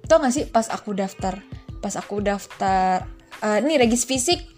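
A woman's voice: mid-range voice, normal speed, faint music behind. A young woman from Central Java chatting as a podcast guest, telling stories with a laugh and acting out the voices of the people she quotes. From Central Java, Indonesia. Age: young adult. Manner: lively, laughing storyteller who acts out quoted voices. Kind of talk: casual chat.

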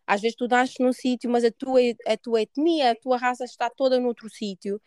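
A woman's voice: a little high, fairly even intonation, moderate pace, a little mellow, clean tone, dry recording, studio quality. A woman host from Portugal with a European Portuguese accent, chatting informally and dropping in English words. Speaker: podcast host. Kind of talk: casual conversation.

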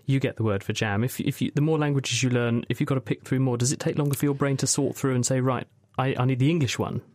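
A man hosting a radio science show, putting listener questions to guests in a brisk, inquisitive, wry way. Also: mid-range voice, steady tone, a little mellow, no echo, studio quality. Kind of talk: casual radio science chat.

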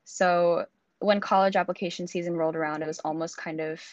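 A woman in her twenties from Singapore, a student guest talking casually in an interview, relaxed and laughing softly between thoughts. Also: lowish voice, fairly quick, no echo, lo-fi sound. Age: 20s. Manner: relaxed, with frequent fillers and soft laughs.

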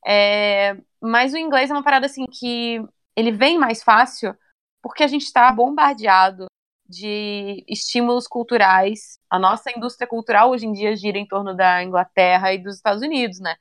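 A young woman chatting casually in an interview, relaxed and talkative, often ending phrases with little tag questions. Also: mid-range voice, moderate pace. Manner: chatty, relaxed, many fillers like "né" and "sabe".

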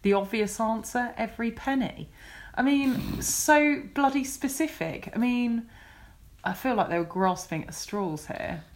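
Woman, podcast co-host, giggly chatty tone, mid pitch. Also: measured pace. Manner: chatty, giggly, easily amazed. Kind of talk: casual chat.

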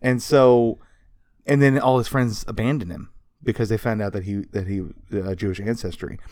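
A man's voice: lowish voice, fairly even intonation, normal speed, darkish tone, no echo, clean studio sound. A man co-hosting a podcast, explaining history in a casual, conversational way with informal quoted asides. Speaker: podcast co-host.

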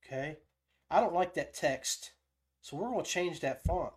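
Male tutorial host, slightly high voice, informal step-by-step delivery, talks through his own process. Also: lively intonation, moderate pace. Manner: thinks aloud while demonstrating step by step. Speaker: podcast host giving a software tutorial. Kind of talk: casual live screen-share tutorial.

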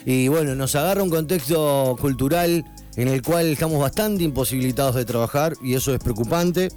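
A man's voice: mid-range voice, fairly quick, darkish tone. Effusive Argentine male radio host, music behind. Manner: effusive, informal, with emphatic repetition. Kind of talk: conversational radio interview. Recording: music in the background.

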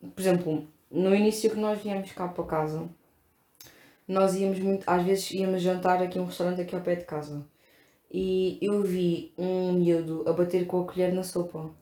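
A woman's voice: on the low side, moderate pace. A woman with a European Portuguese accent, co-hosting a casual chat and telling stories with lots of fillers. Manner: chatty, animated storytelling with lots of fillers. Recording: echoey room.

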